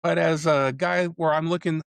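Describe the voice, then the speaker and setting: A man's voice: fairly high pitch, fairly even intonation, normal speed, vocal fry, clean tone, no room sound. A man in his fifties from central Iowa, a storm chaser and photographer, talking casually as an interview guest, rambling with plenty of "you know" fillers and restarts. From central Iowa, USA. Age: 50s.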